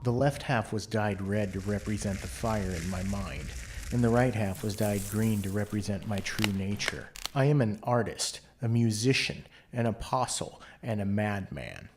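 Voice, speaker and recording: A man's voice: on the low side, normal speed. A man giving dramatic, brooding first-person scripted narration as the narrator of an audio play.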